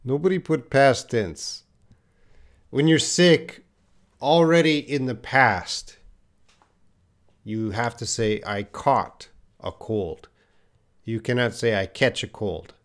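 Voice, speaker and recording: A man's voice: mid-range voice, slow, long pauses. A man teaching English in a live online lesson, patiently reading out viewers' answers and correcting them with repeated example phrases.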